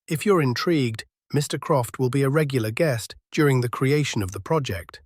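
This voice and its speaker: An actor playing a powerful, worldly earl and arts patron in a period audio drama, confident and urbane. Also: male voice, mid-range voice, moderate pace, dry recording, close to an everyday voice. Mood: inviting.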